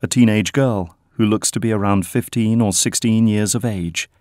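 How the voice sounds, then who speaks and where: A man narrating an audio drama in a measured, scripted style. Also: on the low side, darkish tone, dry recording, studio quality.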